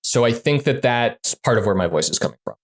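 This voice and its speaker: A man who designs games, talking casually in an interview, full of fillers and quick restarts. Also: medium pitch, animated. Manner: chatty, many fillers and restarts. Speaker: game designer guest.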